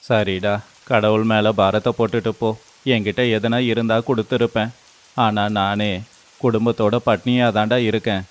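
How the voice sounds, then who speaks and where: Low smooth male actor as poor village friend, earnest, cautioning, concerned, story drama. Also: flat delivery, normal speed, lightly acted. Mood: resigned, caring. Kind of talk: dramatized moral story voice acting.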